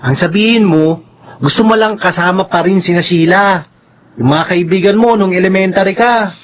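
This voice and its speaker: A man's voice: high-pitched, normal speed, a little hiss or hum, lightly acted. A Filipino man playing a bossy, studious teenage older brother in a radio drama, admonishing his sibling. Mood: nagging.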